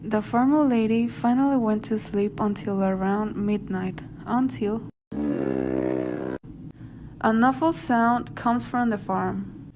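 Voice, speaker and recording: A woman's voice: on the high side, takes it slowly, long pauses. A woman reading scripted narration for an amateur radio drama. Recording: noisy amateur home recording.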